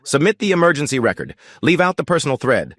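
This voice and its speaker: A man's voice: a little high, big pitch swings, fast, a bit bright, dry recording, pitched above the performer's own voice. A man voicing a manipulative male rival who talks in a pushy, persuasive way. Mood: pressuring.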